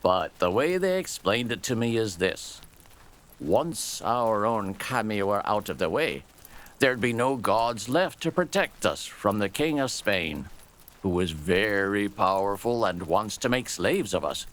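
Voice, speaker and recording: A gruff old soldier, acted by a male storyteller, full of scorn and vehemence. He speaks slowly and low, leaning on key words with contempt, and his pitch swings widely as he makes his case. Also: animated, fairly bright tone. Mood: explaining. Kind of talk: storybook character dialogue.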